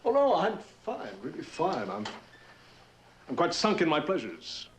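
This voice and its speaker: Animated, wry eccentric rich man. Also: a little high, a little slow, crowd noise. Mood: wistful, expansive. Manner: theatrical, rambling, wry. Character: wealthy, eccentric, world-weary man, expansive and wry. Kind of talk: mid-century television drama acting. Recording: noisy old TV drama soundtrack.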